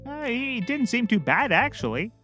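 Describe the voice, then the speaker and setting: A man's voice: very high voice, big pitch swings, normal speed, bright, forceful tone, soft background music, exaggerated voice. A man playing a cheerfully clueless, rambling private eye who cracks corny jokes in a scripted comedy. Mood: breezy, clueless. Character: bumbling, chirpy, oblivious male puppet-world private eye.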